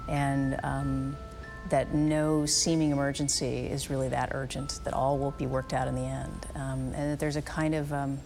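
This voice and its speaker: A woman interviewed for a film feature, describing her role thoughtfully with pauses. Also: rumbling low, level tone, darkish tone, soft background music. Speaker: actress interviewee. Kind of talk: behind-the-scenes interview.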